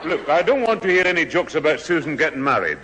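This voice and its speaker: An older man playing a gruff, proud Scottish grandfather who scolds, in a radio sitcom. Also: fairly high pitch, very animated, fast, heavy background noise. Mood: irritated. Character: gruff, proud, easily offended old grandfather. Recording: reverberant old radio studio recording.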